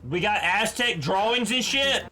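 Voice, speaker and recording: A man's voice: high voice, expressive, a little slow, pushed and bright. A man giving casual, blunt game reaction commentary as a streamer, slangy and full of swearing. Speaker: gaming streamer. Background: faint game music.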